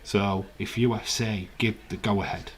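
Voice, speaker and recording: Croaky chatty man streaming. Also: fairly low pitch, steady tone, unhurried, slight background noise. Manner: chatty, rambling, reads out viewer comments. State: hoarse, croaky voice. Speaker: live stream host. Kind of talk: casual live-stream chat.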